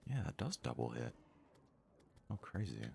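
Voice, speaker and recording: A man doing hushed ASMR gaming commentary with a gravelly, husky voice, casually musing aloud close to the microphone. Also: a bass voice, wildly swooping pitch, normal speed. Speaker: let's play host.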